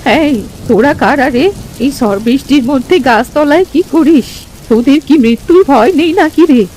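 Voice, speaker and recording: Woman playing a high-pitched old village granny. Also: moderate pace, darkish tone, natural voice. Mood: stern, challenging. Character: old village granny, eerie yet pleading. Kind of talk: Bengali cartoon story voice acting. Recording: noisy, with rain ambience.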